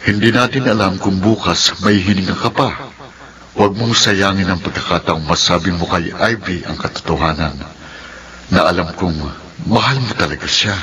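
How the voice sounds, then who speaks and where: A grave male counsellor. His voice is very deep yet bright and forceful, pressing his point solemnly in radio drama style. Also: moderate pace. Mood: solemn, urging. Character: solemn male adviser urging honesty.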